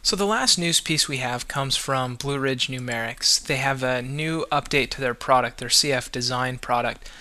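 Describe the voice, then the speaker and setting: A man's voice: mid-range voice, moderate pace, hardly any pauses, bright, forceful tone. A man hosting a podcast, talking casually and loosely, with many restarts and fillers. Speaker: podcast host.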